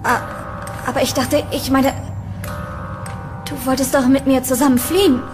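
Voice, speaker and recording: A woman's voice: high-pitched, flat delivery, normal speed, music in the background, natural voice. A woman playing an anxious young student trapped in a haunted house in a horror audio drama. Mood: uneasy, urgent.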